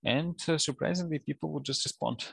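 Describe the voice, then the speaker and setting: A man in his thirties, a native Russian speaker with a Russian accent, talking casually and haltingly as an interview guest over a headset. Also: a little high, vocal fry, a bit bright, slightly rough, dry recording. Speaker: guest, data science professional. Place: office, indoors, on a video call.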